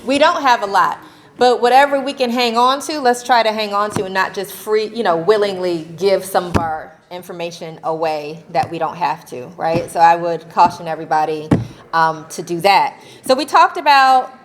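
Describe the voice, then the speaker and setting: A woman speaking on a convention panel about faith and technology. She talks in an animated, conversational way, laughing often and checking in with her audience with a quick "right?". Her voice sits at an ordinary middle pitch. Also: background noise. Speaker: faith-based advocacy panelist. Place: convention session room.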